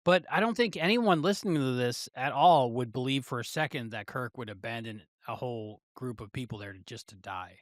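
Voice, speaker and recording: A man with a medium-pitched voice, recorded dry and close. He chats casually as a podcast co-host, feeling his way through ideas out loud. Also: fairly quick, hardly any pauses, no room sound. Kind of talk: casual review chat.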